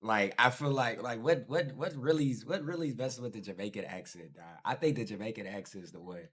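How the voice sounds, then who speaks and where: A man's voice: mid-range voice, a little fast, fairly bright tone, slight background noise. A man with an American accent reacting off the cuff in an informal, slangy, exclamatory way. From United States. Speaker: reaction video host.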